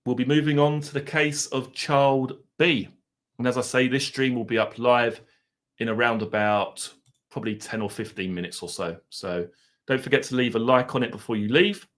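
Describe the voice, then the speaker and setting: A man with an Essex accent hosts a live stream in a loose, rambling way. His pitch sits in the middle of the usual range. He drifts between banter with viewers and reading out their messages, with the odd mild swear word. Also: fairly even intonation, normal speed, fairly bright tone.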